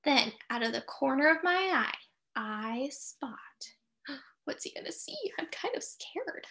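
A middle-aged woman reads a children's picture book in a high voice that swings widely up and down. Her delivery is expressive and sing-song, stretching key phrases for young listeners. A creaky edge runs through the voice as she turns to ask them questions. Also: a little slow. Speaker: teacher reading a picture book aloud.

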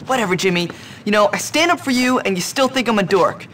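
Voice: medium pitch, a little fast, fairly bright tone, a little hiss or hum, natural voice. An actor as a meek, peevish schoolboy sidekick. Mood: hurt, peevish. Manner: hesitant, peevish. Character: meek, easily hurt nerdy schoolboy sidekick. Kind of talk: video game cutscene acting.

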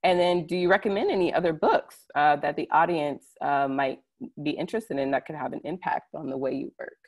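A woman's voice: sits low, brisk. A woman giving an informal online presentation, speaking conversationally and addressing her listeners directly. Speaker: conference presenter. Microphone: computer mic on a video call.

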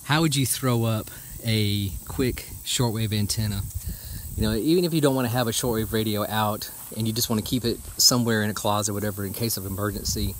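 A man's voice: on the low side, fairly quick, fairly bright tone. A man giving a casual, plainspoken, folksy how-to explanation, recorded outdoors on a handheld camera. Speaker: homesteader giving a how-to demonstration. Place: outdoors in a rural yard. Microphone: handheld camera or phone mic. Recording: outdoor ambient noise with insect chirping.

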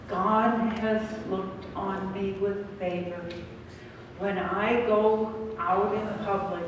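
A woman playing a devout elderly expectant mother, reading deliberately in a church pageant. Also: mid-range voice, lo-fi sound. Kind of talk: church scripture dramatization. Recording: echoey church from far off, faint noise.

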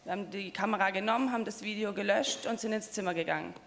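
A woman in her twenties tells her own story in a calm, measured way. Her voice has a gravelly, creaky edge, and it carries a long reverb from the large hall. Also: medium pitch, a little hiss or hum. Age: 20s. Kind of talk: spoken personal testimony.

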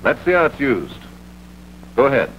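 Vintage American military film narrator, animated pitch. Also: male voice, moderate pace. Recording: dull vintage film soundtrack with hum and hiss.

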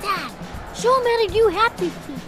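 A tiny penguin chick acted by a woman, a boy full of innocent eagerness. The voice is childlike and extremely high, swinging about in pitch, with music clearly behind it in a cartoon game. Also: expressive, normal speed. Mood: excited. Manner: childlike, pleading.

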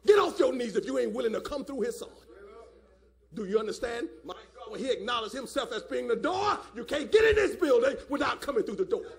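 A male preacher with a very high, strained-register voice full of gravel. He delivers a fiery sermon, hammering phrases through repetition and often shouting them, pressing for responses. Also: expressive, fairly quick.

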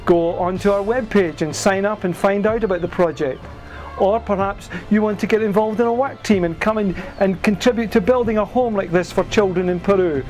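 Man, 60s, high voice, earnest outdoor appeal to camera, lapel mic, faint music under it. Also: a little mellow. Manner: earnest, persuasive, gestures while speaking. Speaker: charity leader making an appeal. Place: outdoors on a bench by a building in a valley.

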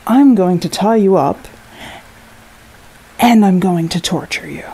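An actress playing a volatile, threatening rental guest in a horror audio drama, speaking with contempt and menace. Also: female voice, fairly low pitch, creaky, dark tone, husky, full character voice, rough recording. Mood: cold menace. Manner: menacing, slow and deliberate, contemptuous.

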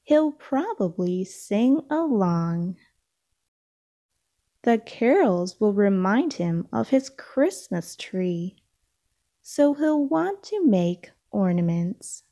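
Very slow, lilting woman storybook reader. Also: medium pitch, very animated, warm tone. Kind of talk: read-aloud storybook narration.

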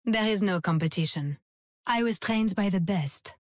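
A woman's voice: medium pitch, moderate pace, no room sound, clean studio sound, close to an everyday voice. An actress playing a cold, aloof female sniper assassin with a French accent and a clipped, disdainful manner. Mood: cold, dismissive.

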